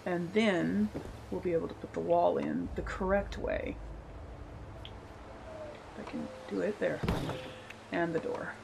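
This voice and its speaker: Slightly hoarse woman with a gravelly edge. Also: fairly low pitch, fairly even intonation, unhurried, long pauses, noisy background. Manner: friendly step-by-step explaining, occasional throat clearing. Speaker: game channel host. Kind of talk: casual tutorial narration over gameplay.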